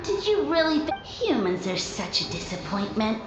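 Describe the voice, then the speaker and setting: A woman's voice: a little high, sing-song, moderate pace. A woman voicing a haughty fungus spirit in a video game, condescending and taunting, with an echoing effect. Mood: mocking. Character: haughty, mocking fungus spirit that toys with humans. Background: prominent game music.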